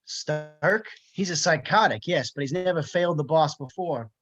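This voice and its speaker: A man's voice: fairly high pitch, normal speed, fairly bright tone, dry recording. A man playing a wary, scornful criminal henchman in an amateur audio drama, on a dull recording with music behind.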